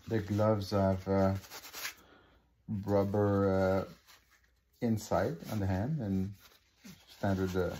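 A man's voice: low-pitched, darkish tone, slight background noise. A man with a Québécois French accent, a native French speaker, casually talking through an unboxing with many fillers and restarts, filmed on a phone over a living-room table. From Quebec. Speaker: hobby unboxing vlogger.

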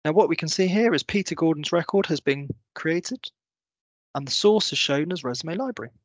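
A man in his thirties presenting a software walkthrough, explaining step by step in a semi-scripted tutorial style. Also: fairly high pitch, lively intonation, normal speed, no echo. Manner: clear, step-by-step explanatory delivery. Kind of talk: semi-scripted screen-share tutorial narration.

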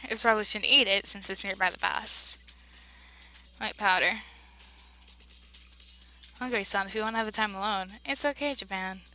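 Girl doing a let's play, reads all the dialogue, bright voice, gets loud then goes all hushed. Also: mid-range voice, moderate pace, long pauses, slight background noise, low-quality recording. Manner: emotional, reacts loudly then drops to hushed narration. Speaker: let's play voice-over player. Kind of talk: reading game dialogue aloud with reactions.

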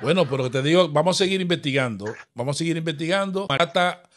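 Male, higher-pitched, accusatory, repetitive, prank caller, noisy recorded call. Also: fast. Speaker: prank caller, show host. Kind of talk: phone prank, posing as an official.

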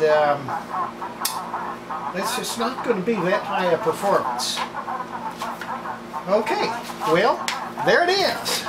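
An older woman gives casual, matter-of-fact hands-on narration, talking while she works as a restorer demonstrating repairs. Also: medium pitch. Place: indoor home workshop. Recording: roomy workshop, loud sanding and rubbing noise.

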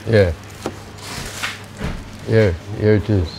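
A man's voice: fairly low pitch, fairly even intonation, drawn-out words, vocal fry, heavy background noise. A middle-aged man with a Jamaican accent, casually explaining a task outdoors by a river and saying "you know" a lot. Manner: easygoing, repeats "you know" often. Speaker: vlogger. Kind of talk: casual how-to vlog.

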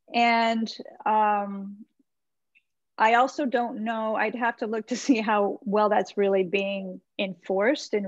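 A woman's voice: mid-range voice, dry recording. A middle-aged American woman giving informal, encouraging advice as a webinar presenter, thinking aloud in a conversational way over a video call. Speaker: webinar presenter, website marketing consultant. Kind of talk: informal website critique and advice. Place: small indoor room with bookshelves. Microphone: computer mic on a video call. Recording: slightly dull video-call audio.

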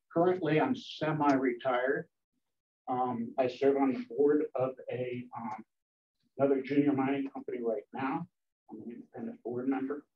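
An older man introducing himself as a board candidate at a meeting, pausing often with ums. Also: medium pitch. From Connecticut. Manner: hesitant, many ums. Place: meeting room. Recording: dull distant room mic.

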